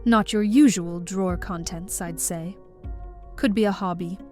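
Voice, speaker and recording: A woman playing a deadpan, oblivious, quirky police detective in a comedic audio drama, speaking matter-of-factly. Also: medium pitch, unhurried, faint music behind, close to an everyday voice. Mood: clinical, matter-of-fact.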